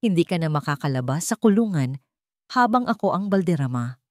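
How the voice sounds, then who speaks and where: A woman's voice: lowish voice, animated, a little fast, a little mellow, no room sound, clean studio sound, close to an everyday voice. A woman playing a furious, cold and wealthy household master in a dramatic audio story. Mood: cold threat.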